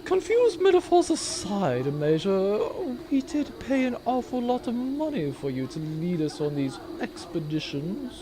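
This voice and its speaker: Man putting on a haughty, high-pitched, needling villain. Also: very animated, a little slow, few pauses, a crowd in the background. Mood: needling, condescending. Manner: arch, wordy, condescending. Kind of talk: comic sci-fi audio drama.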